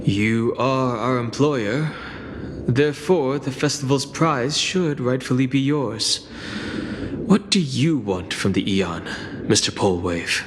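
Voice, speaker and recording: A man's voice: medium pitch, lively intonation, slow pace, background noise. An actor playing a reserved, polite young male companion who speaks formally. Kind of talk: video game voice acting. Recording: game audio with music.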